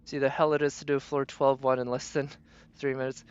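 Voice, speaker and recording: Smooth-voiced man musing over a game. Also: medium pitch, little pitch movement, a little fast, no room sound, dull audio. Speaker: game streamer. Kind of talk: casual live game commentary.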